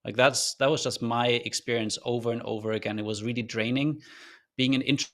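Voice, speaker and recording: A man who grew up in Germany speaks with a faint German accent. He tells his story as a podcast guest, earnest and reflective, pausing and restarting as he thinks. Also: mid-range voice, fairly even intonation, a bit bright. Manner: thoughtful, earnest, loose with fillers and restarts.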